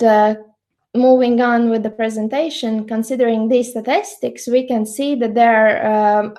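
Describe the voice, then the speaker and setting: A young woman with a Latvian accent gives an online presentation, pausing often with fillers. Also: on the high side, mellow. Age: young adult. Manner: hesitant, many fillers. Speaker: student lecturer.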